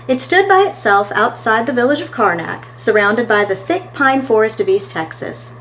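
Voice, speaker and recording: A woman's voice: on the high side, lively intonation, normal speed, a bit bright, a little hiss or hum. A woman reading a picture book aloud as a storytime host, expressively and talking to her listeners between pages.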